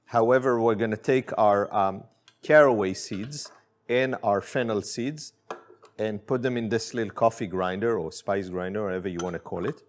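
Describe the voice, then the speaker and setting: A man's voice: lowish voice, normal speed, slight background noise. A middle-aged man from Israel, chatting easily through a cooking demonstration in his kitchen, with plenty of fillers. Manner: relaxed, friendly, with many fillers. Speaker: chef and spice company owner.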